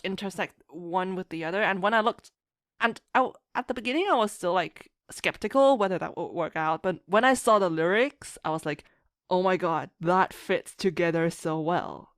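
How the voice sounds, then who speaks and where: A woman chatting casually as a podcast guest, telling stories in an animated way and acting out quotes. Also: mid-range voice, no echo, studio quality. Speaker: podcast guest, fan musician and writer. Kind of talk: casual chat.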